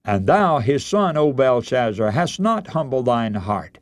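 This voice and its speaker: A man preaching a radio sermon, telling a Bible story in solemn, deliberate oratory with dramatic emphasis. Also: medium pitch, very animated, no echo.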